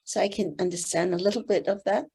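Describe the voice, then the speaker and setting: An older woman from Singapore whose first language is Teochew, giving gentle, thoughtful interview answers with soft pauses. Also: mid-range voice, fairly even intonation, slightly rough. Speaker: interview guest, board member.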